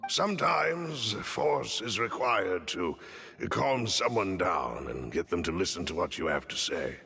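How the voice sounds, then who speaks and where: A man's voice: low-pitched, big pitch swings, measured pace, gravelly, sharp tone, a little hiss or hum, exaggerated voice. A man voicing a courteous, composed attendant in a video game, with formal, deferential manners. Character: courteous, composed male attendant to a young lady.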